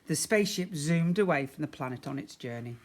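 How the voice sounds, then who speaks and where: A man's voice: a little high, expressive, brisk. Man reading a children's story aloud. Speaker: primary school teacher.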